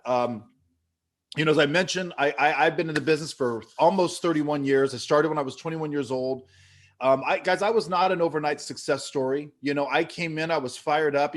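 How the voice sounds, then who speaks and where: A man in his fifties from California giving an earnest motivational talk, telling stories with many fillers and restarts. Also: fairly high pitch. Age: 50s. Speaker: business leader speaking at an online event.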